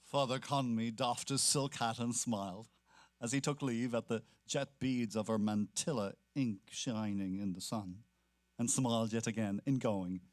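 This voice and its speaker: A man's voice: mid-range voice, steady tone, a little slow. A man narrates literary prose from a script. His voice sits in the middle range, with a dry sound free of room echo. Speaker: narrator in a staged reading.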